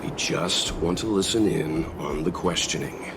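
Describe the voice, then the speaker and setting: An actor playing a serious, dutiful middle-aged man in a video game, measured, polite and firm. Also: deep voice, background noise. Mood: firm, reasonable. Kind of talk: scripted video game cutscene acting.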